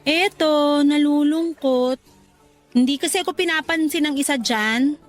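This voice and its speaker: A woman's voice: high-pitched, measured pace, faint music behind. A woman playing a sweet, teasing young worker being courted in a Tagalog radio romance, with a coy, coaxing way of talking. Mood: wistful, coy.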